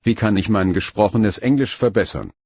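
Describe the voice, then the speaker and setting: Husky, low-voiced man reading phrases. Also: no echo. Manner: slow, even, careful phrase-by-phrase reading. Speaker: phrase reader.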